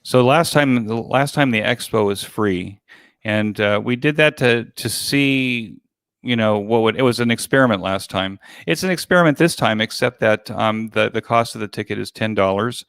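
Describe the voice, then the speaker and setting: An older man talks as a guest in a casual interview, his voice fairly low with a strong creak. He phrases things haltingly, doubling back on words. The sound is close and dry. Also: fairly bright tone, dry recording. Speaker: guest, virtual expo organizer.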